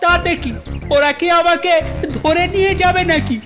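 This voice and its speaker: A woman's voice: very high voice, fairly even intonation, moderate pace. A woman voicing a cocky, stubborn young cartoon turtle who talks in a defiant, dismissive, grumbling way. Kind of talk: children's cartoon dubbing. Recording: music behind the voice.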